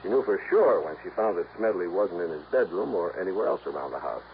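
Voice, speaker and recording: Low-voiced, earnest insurance man. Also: animated, fast, creaky. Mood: grave, explanatory. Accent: American. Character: earnest, businesslike insurance man briefing a detective. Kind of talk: 1950s radio drama acting. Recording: muffled, faintly noisy old radio recording.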